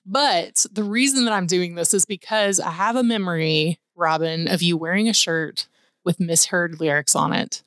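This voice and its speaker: A woman's voice: fairly low pitch, normal speed, fairly bright tone, dry recording, studio quality. A woman hosting a podcast, chatty and bubbly, laughing often as she talks. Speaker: podcast host. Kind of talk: casual chat.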